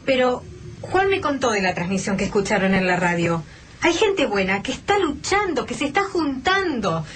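An actress playing an anxious, earnest survivor in a radio drama, emotional, with an Argentine accent. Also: female voice, fairly high pitch, very animated, normal speed, slight background noise. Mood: hopeful pleading. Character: anxious, earnest female survivor clinging to hope.

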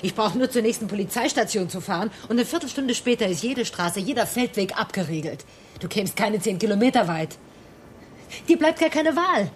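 An actress plays a burdened but defiant woman in a radio drama. Her medium-pitched voice is controlled and reasoning, with a sardonic edge. There is faint noise behind her. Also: expressive, a little fast, natural voice. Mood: cool, challenging. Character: guilt-laden, coolly defiant woman facing down an armed man. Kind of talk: German radio drama acting.

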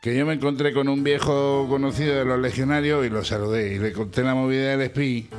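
A man's voice: mid-range voice, fairly even intonation, a little fast, soft background music. Guy stumbling thru his story, restarts a lot, mid voice. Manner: hesitant, rambling, full of restarts. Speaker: former punk band member. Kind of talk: interview chat.

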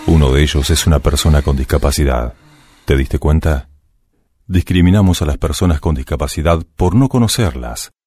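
A man's voice: rumbling low, moderate pace, a little mellow, husky. A man with an Argentine accent reading a scripted public service announcement.

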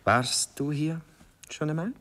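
An actor playing a dreamy, self-absorbed poet in a radio play, with a Viennese accent and a sentimental, often hushed delivery. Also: male voice, fairly high pitch, unhurried. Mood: puzzled, placating. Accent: Austrian, Viennese. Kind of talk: theatrical radio play acting.

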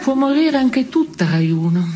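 A female stage actor playing a dry, irreverent woman who trades absurd pronouncements in terse deadpan. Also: high-pitched, normal speed, background noise. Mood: dry contempt. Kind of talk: two-person absurdist satirical theatre dialogue.

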